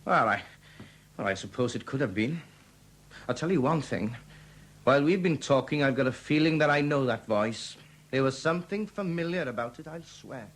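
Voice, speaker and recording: A man's voice: medium pitch, lively intonation, moderate pace, fairly bright tone. An actor playing a blunt, grumbling, plain-spoken male witness with colloquial speech in a 1970s radio drama. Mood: puzzled, mulling.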